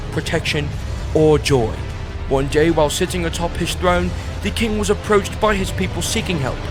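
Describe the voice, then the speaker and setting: Guy narrating a fantasy story like an audiobook, bit higher voice, music behind.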